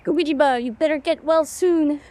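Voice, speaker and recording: A woman voicing a spirited young idol girl with emphatic, drawn-out delivery in a drama. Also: sits high, darkish tone. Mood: concerned.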